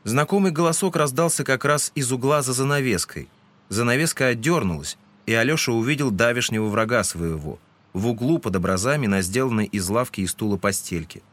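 A man's voice: medium pitch, expressive, a little fast, a bit bright. A man reading scripted literary narration as the narrator of a dramatized audiobook.

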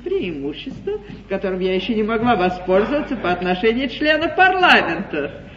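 Haughty duchess played by an actress, deliberate and sweeping in pitch, mocking stage-comedy style, muffled old theatre broadcast. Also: female voice, big pitch swings, roomy sound, noisy background, close to an everyday voice. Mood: triumphant, ironic. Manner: deliberate, theatrical, ironically polite. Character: haughty, cunning duchess, coolly mocking and triumphant. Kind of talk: classical stage comedy acting.

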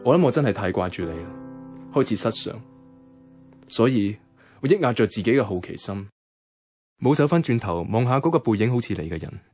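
A man's voice: fairly low pitch, animated, brisk, long pauses, darkish tone, soft background music. An actor with a Hong Kong Cantonese accent playing a wavering, lovelorn young man who narrates a radio drama in a colloquial, introspective way. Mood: wistful. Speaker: lead narrator.

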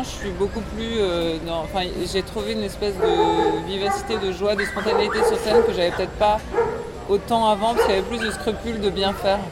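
A woman musician and radio host giving a casual interview, thinking aloud with many fillers and restarts. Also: medium pitch. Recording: noisy street recording.

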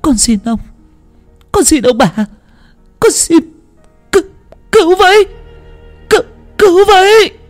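A man's voice: very high-pitched, big pitch swings, unhurried, pauses often, faint music behind, pitched above the performer's own voice, exaggerated voice. A man voicing a young female servant girl who stammers as she begs. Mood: desperate. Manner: stammering, begging.

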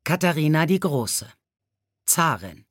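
A woman's voice: low-pitched, big pitch swings, slow pace, no echo, clean studio sound. A woman reading a biographical story in a scripted storybook narration style. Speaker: audiobook narrator.

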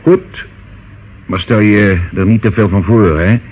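An actor playing a devious older uncle in a radio drama, glib, reassuring and condescending. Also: male voice, fairly low pitch, unhurried, lightly acted. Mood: patronising. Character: smooth, devious older uncle, soothing and patronising. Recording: phone-like, noisy old radio play recording.

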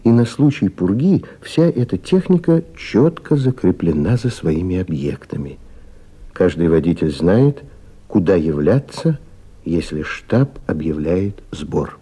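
An actor narrating a radio drama in a formal, explanatory, solemn manner. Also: male voice, sits low, lively intonation, measured pace, mellow, husky, heavily acted. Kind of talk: scripted narration.

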